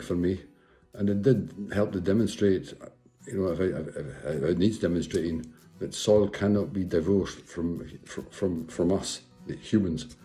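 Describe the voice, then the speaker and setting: A man with a deep, very mellow, dark voice gives considered interview remarks. He is hesitant and often repeats words.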